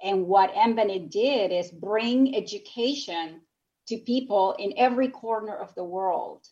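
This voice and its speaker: A woman's voice: mid-range voice, unhurried, dark tone. Woman, middle aged, passionate radio guest, kinda dull lo-fi sound. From Nicaragua. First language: Spanish. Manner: passionate and earnest. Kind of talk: talk radio interview.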